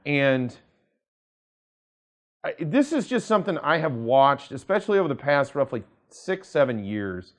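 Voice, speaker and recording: An American man, an attorney, presenting news commentary in a measured, emphatic manner, stressing phrases deliberately and drawing some out. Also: mid-range voice. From United States. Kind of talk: news commentary to camera, quoting articles.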